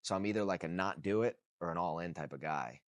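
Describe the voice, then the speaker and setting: A man's voice: deep voice, quick pace, no room sound. A man of about thirty talking casually and bluntly to camera, swearing freely and repeating phrases for emphasis. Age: 30s. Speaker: vlogger. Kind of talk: casual unscripted talk to camera.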